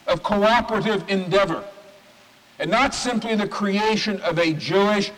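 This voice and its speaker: A man, a rabbi, giving a sermon in an oratorical manner, stacking phrases with emphatic pauses. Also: sits high, a bit bright. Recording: reverberant hall recording.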